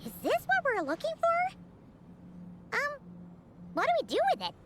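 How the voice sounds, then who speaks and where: A woman voicing a small, excitable, bossy fairy-like girl companion in an anime game, with a squeaky childlike voice. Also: normal speed, a little hiss or hum, full character voice. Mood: puzzled. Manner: scolding, exclaiming, refers to herself by name. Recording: loud music under the voice.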